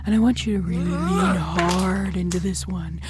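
A woman playing a yoga instructor in a radio promo, coaxing and pushing her class with breathy commands. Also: fairly low pitch, fairly even intonation, normal speed, slight background noise, close to an everyday voice. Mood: soothing. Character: soothing but pushy female yoga instructor urging on class. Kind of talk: parody fund drive promo.

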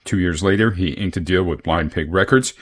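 A man's voice: low-pitched, brisk. A man hosting a radio music show, reading album notes and reviews from a script.

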